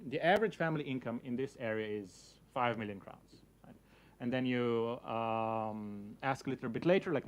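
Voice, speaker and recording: A young man whose first language is Slovenian gives a casual talk to an audience. He hedges a lot and fills his sentences with "you know, like.". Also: medium pitch. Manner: hedging, many fillers like "you know, like". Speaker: speaker giving a talk.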